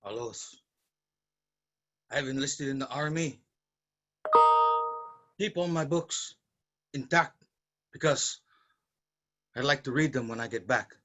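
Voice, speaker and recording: A man's voice: mid-range voice, takes it slowly, pauses often, a bit bright. An actor playing a caring, responsible brother, an earnest man in a staged drama. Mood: tender, solemn. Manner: earnest, protective, scolding when angry. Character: Macario, caring, responsible, bookish Filipino brother. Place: online virtual staged reading.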